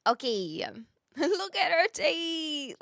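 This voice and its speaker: Bright-voiced New Zealand woman, close and dry. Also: very high-pitched, big pitch swings, extremely slow, gravelly, no echo. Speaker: live streamer. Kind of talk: casual livestream chat, reading and answering viewer comments.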